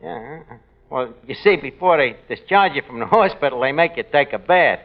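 A man's voice: fairly high pitch, lively intonation, moderate pace, phone-quality sound. Cocky new bar guy cracking jokes, bouncy voice, hissy old radio. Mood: wisecracking. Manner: brash wisecracking delivery. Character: wisecracking male tavern manager, brash and boastful. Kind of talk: 1940s radio sitcom acting.

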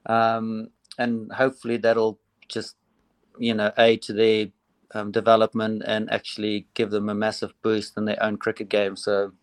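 A man with a South African accent, an assistant cricket coach speaking casually in a phone interview, relaxed and hesitant with frequent ums. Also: fairly low pitch, moderate pace, clear, resonant tone, no echo.

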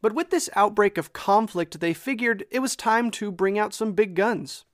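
A man's voice: high voice, normal speed, no room sound. A man hosting a history podcast, reading a scripted narration in a conversational way with light self-deprecating asides, into a close microphone.

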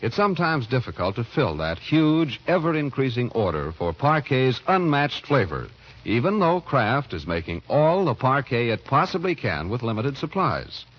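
Lively, husky old-time radio announcer man. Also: mid-range voice, moderate pace. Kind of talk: 1940s radio advertising and scripted narration. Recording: muffled vintage radio broadcast.

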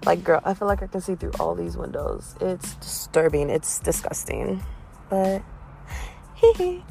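Young woman in her twenties, casual solo podcast, chatty, giggly, lowish voice, faint music behind. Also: gravelly, warm tone. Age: 20s. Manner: chatty, self-deprecating, giggly, swings up in pitch. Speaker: podcast host. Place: in a car.

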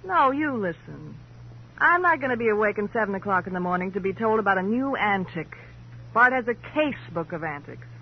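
An actress playing a flippant, jaded rich wife in an old radio crime drama, sardonic, brisk and dismissive. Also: female voice, mid-range voice, sing-song. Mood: impatient, dismissive. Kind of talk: 1940s-50s radio crime drama acting. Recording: dull old radio recording, faint noise.

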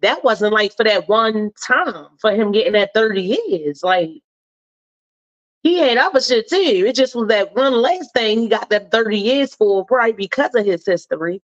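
A woman's voice: mid-range voice, expressive, normal speed, dull audio. A woman hosting a live talk show, chatting in a casual, slangy and emphatic way, a little stuffed up. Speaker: host.

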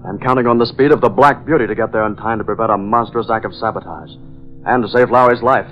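An American actor playing a decisive boss and secret masked crime-fighter, crisp, deliberate and melodramatic in 1940s radio style. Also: male voice, fairly low pitch, faint music behind, lightly acted. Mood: urgent, resolute. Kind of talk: 1940s radio drama acting. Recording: dull, band-limited old radio recording.

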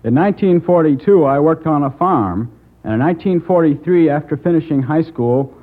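A man in his twenties answering as a guest in a formal radio interview, polite and measured, as if reciting. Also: fairly high pitch, animated. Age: 20s. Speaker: former quiz contestant, guest. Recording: old radio broadcast, narrow tinny sound.

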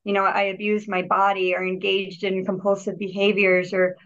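A woman's voice: medium pitch, little pitch movement, moderate pace, a bit bright. A woman guest on a podcast telling her personal healing story in an earnest, reflective way, often restarting and repeating words. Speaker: podcast guest, healer and yogi. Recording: dull lo-fi audio.

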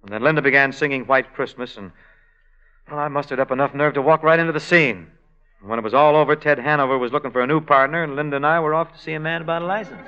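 A man's voice: fairly high pitch, quick pace, clean tone, highs cut off, lightly acted. An actor playing a lovesick innkeeper who tells his story wryly and easily, in 1940s radio acting on an old broadcast. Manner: wry, easygoing storytelling. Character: lovesick, wry male innkeeper and singer.

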